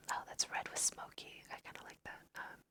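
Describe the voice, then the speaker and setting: A woman's voice: a little slow, sharp tone. A woman whispering a casual, musing ASMR let's play, talking to her viewers as she goes. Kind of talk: whispered ASMR game commentary.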